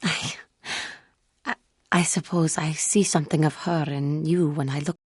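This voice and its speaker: A woman playing a wounded, earnest female alien Jedi in a video game, speaking in formal, measured phrases. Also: low-pitched, steady tone, breathy edge, no room sound, clean studio sound. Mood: earnest, hopeful. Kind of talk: scripted video game character dialogue.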